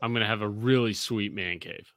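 Bright-voiced man in his forties, rambling. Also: lowish voice, brisk, no echo, clean studio sound. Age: 40s. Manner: rambling storyteller, swears freely. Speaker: podcast host. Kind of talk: casual podcast banter.

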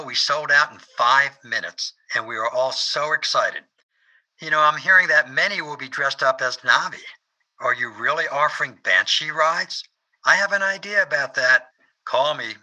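A man's voice: medium pitch, normal speed, pushed and bright, rough recording. A man playing an eager, ingratiating caller leaving a phone message in an audio comedy. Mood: eager, curious.

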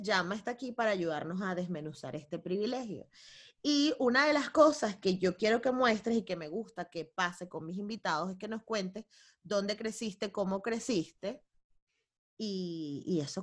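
A woman hosting a podcast, talking in a casual, animated and emphatic way. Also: mid-range voice, moderate pace. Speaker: podcast host. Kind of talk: casual conversational interview.

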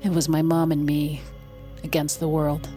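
An actress playing a grown daughter recalling her childhood in measured, wistful audio drama narration. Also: sits low, completely flat delivery, dark tone, faint music behind, lightly acted. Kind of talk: scripted first-person audio drama narration.

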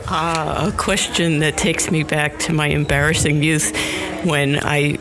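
A middle-aged woman, a writing teacher, giving anecdotal advice in a deliberate, self-deprecating way. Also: low voice, little pitch movement, background noise. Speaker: guest writer and teacher.

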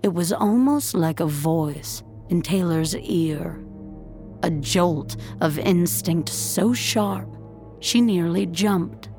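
A woman narrating scripted suspense fiction in a measured, dramatic storytelling manner. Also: fairly low pitch, darkish tone, soft background music.

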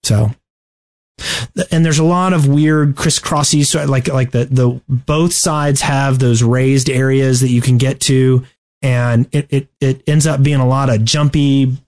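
A man co-hosting a podcast, talking through game strategy casually and haltingly as he thinks aloud. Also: medium pitch, fairly even intonation, a little mellow. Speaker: podcast host.

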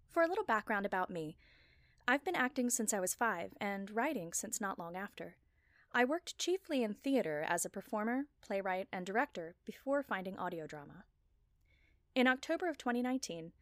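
A woman delivering a scripted personal talk as a podcast creator, with measured, articulate phrasing and deliberate emphasis. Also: medium pitch, dry recording.

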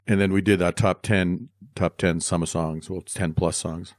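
A man's voice: low voice, steady tone, normal speed, dry recording. A middle-aged man co-hosting a music podcast with his son, chatting in a rambling, friendly way with lots of restarts. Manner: chatty, rambling with restarts, corny dad jokes. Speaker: podcast co-host. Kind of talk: casual podcast chat.

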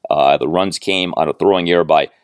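A man hosting a sports show, giving recap commentary in an emphatic, deliberate way with clipped, stat-heavy phrasing. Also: deep voice, clear, resonant tone, no echo, clean studio sound. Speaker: sports podcast host.

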